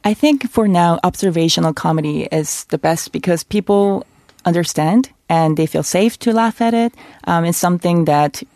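A woman's voice: lowish voice, moderate pace, darkish tone, no echo, clean studio sound. A woman from Korea, a comedian guest, explaining her views thoughtfully with frequent ums. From South Korea. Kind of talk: interview answers.

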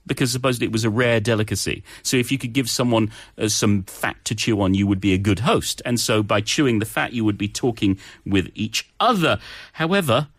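A man's voice: mid-range voice, no room sound, studio quality. A man co-hosting a show about English expressions, explaining them conversationally with examples in a chatty way.

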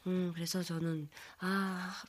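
A woman's voice: on the low side, flat delivery, slow pace, background noise. A woman guest chatting casually as she tells a personal anecdote. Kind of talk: casual storytelling.

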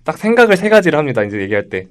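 Fast, animated young man debating. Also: on the high side. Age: 20s. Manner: animated, acts out other people's reactions. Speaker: panelist. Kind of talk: lively debate chat.

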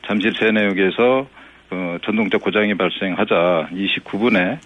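A narrow phone line carries a man's fairly low voice. He speaks as an official answering an interview, formal and polite. His explanations come haltingly, with hesitations as he searches for wording. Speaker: subway operations executive, interview guest. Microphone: telephone.

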